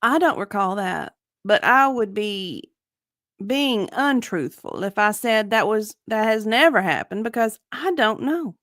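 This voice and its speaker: A woman's voice: medium pitch, animated, unhurried, fairly bright tone, no room sound, studio quality. A woman reading the part of a guarded, evasive witness in a dramatic deposition reading, with careful, hedging answers. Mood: evasive, earnest. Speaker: dramatic reader.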